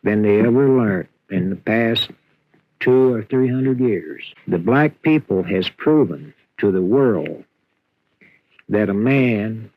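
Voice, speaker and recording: A man speaking slowly and deliberately in an archival interview. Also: on the low side, expressive, no room sound. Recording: dull dry old recording.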